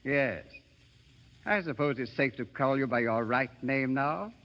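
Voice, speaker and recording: An actor playing a courteous, composed retired professor in 1930s radio style. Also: male voice, medium pitch, a little slow, in a large hall, lightly acted. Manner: genteel, composed. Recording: muffled 1930s radio broadcast.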